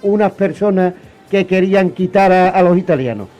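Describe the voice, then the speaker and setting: A man joining a casual radio discussion as a contributor, halting as he searches for his words. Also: sits high, dark tone, soft background music.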